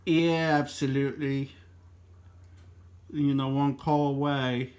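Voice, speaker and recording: Man, medium pitch, casual radio hobbyist chat, halting, self-interrupting. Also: level tone, noisy background. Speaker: amateur radio operator. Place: two-way radio exchange over a repeater.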